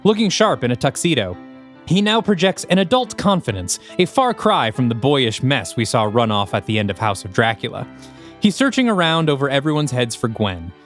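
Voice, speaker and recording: A man reading scripted screenplay narration for an audio drama in an animated, descriptive manner. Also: mid-range voice, moderate pace, faint music behind.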